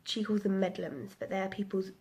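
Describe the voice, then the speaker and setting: A woman's voice: medium pitch, brisk, a little mellow, husky. A woman in her twenties chatting casually about the books she read, rambling with lots of ums, a little bunged up. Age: 20s. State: a bit bunged up. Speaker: book vlogger. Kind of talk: casual book review vlog.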